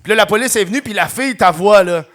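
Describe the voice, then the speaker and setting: A young man with a Quebec French accent hosts a podcast in front of a live audience. His voice sits high and sounds bright and forceful. He chats loosely, laughs a lot and breaks into shouted impressions when he quotes people. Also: quick pace. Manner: animated, acts out quotes in loud mock voices, laughs often. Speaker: podcast host. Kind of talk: live comedy podcast chat.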